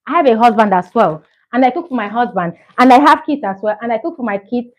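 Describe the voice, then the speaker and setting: A woman's voice: on the high side, expressive, fast talker. A Nigerian woman in her thirties chatting earnestly to camera as a vlogger, encouraging and repeating herself for emphasis. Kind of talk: casual chat to camera.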